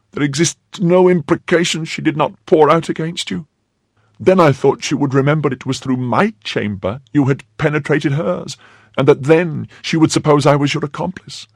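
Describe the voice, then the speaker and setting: Man voicing a high-pitched, frightened young maid. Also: breathy edge, no echo, clean studio sound. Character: frightened, pleading young French maid, devoted and emotional.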